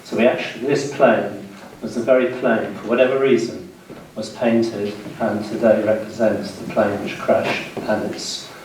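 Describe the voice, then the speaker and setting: A man in his sixties with a British accent gives a public history lecture in a measured way, pausing between short phrases. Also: fairly low pitch, steady tone, darkish tone. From United Kingdom, west of London. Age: 60s. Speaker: guest lecturer. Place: indoor seminar room, speaking to an audience. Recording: very echoey room, distant mic, faint noise.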